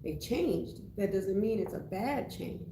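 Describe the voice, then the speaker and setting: A woman in her forties co-hosting a casual discussion, frank and quick with teasing quips. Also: medium pitch, expressive, mellow. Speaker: co-host. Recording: echoey room with faint noise.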